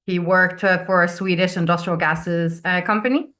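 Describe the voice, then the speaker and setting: A woman's voice: lowish voice, steady tone, normal speed, sharp tone. A woman who grew up in Venezuela, a business coach chatting as a guest on a video call, laughing often and repeating words as she starts. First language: Finnish. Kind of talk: casual interview chat.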